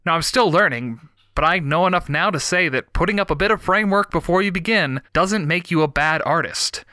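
A young man hosting a writing podcast, reading a prepared talk in a measured, reflective way while stuffy from a head cold. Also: on the high side, sharp tone, no echo, clean studio sound. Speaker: podcast host.

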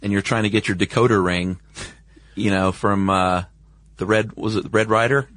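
A man's voice: lowish voice, steady tone, normal speed, fairly bright tone, no echo. A man co-hosting a podcast in casual, informal, folksy conversation. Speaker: podcast co-host.

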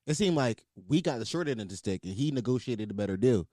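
A man's voice: medium pitch, no room sound, studio quality. A man hosting a casual podcast chat, talking with a slight stutter and laughing often. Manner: quick, lively, laughs often. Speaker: podcast host.

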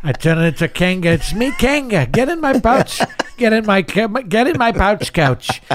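A man's voice: high-pitched, sing-song, voice pushed up. A man doing a goofy mama kangaroo. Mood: silly. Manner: rapid joking impressions. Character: goofy male talking-kangaroo impressions. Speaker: voice actor.

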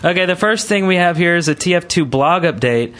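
A man's voice: sits high, lively intonation, a little fast, a bit bright. A man hosting a gaming podcast in casual, chatty talk, often hedging what he says. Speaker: podcast host.

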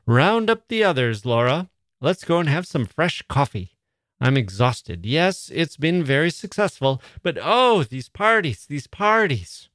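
Fussy upper-class mother, high and exclaiming, put on by a male reader; bossy, dry close audio. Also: sing-song, unhurried, fairly bright tone, no room sound. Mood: weary.

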